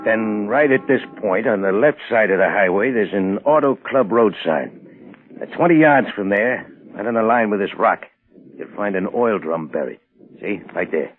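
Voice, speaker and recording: A man's voice: fairly low pitch, lively intonation, moderate pace, narrow, tinny audio, soft background music, lightly acted. Man playing hot-headed 1940s gangster boss, band-limited radio. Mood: bossy, instructing. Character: hot-headed male gangster boss, tough, cornered, pleading.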